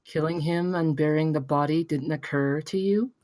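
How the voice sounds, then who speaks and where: A woman's voice: sits low, moderate pace, darkish tone, no echo, close to an everyday voice. A woman playing a smug, menacing, well-spoken male business tycoon in a table read, with a theatrical, mock-genteel manner. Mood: cold, smug.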